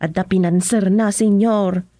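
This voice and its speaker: An actress playing a cheeky, gossipy servant woman in a radio comedy-drama, with drawn-out exclamations and an Ilocano accent. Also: medium pitch, fairly even intonation, mellow, no echo, studio quality, close to an everyday voice.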